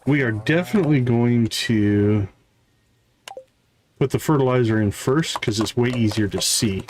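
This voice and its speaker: A man's voice: mid-range voice, measured pace, a little mellow. Man casually streaming a game, noisy background. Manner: thinking aloud, addressing viewers casually. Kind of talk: casual live gameplay commentary.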